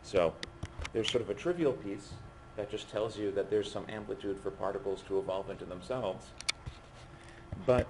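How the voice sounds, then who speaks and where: Male lecturer, hoarse and low-pitched, halting delivery, noisy classroom recording. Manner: halting, stopping mid-phrase to write. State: losing his voice. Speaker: physics lecturer. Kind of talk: university lecture at a board.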